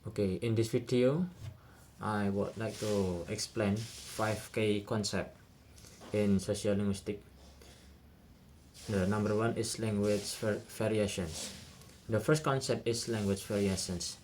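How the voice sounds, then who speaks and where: A young man with an Indonesian accent explains a study topic in a hesitant, filler-heavy way. His voice sits fairly low. A faint hiss runs under the recording. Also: fairly even intonation, a little slow, darkish tone. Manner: hesitant, many "uh" and "nah" fillers.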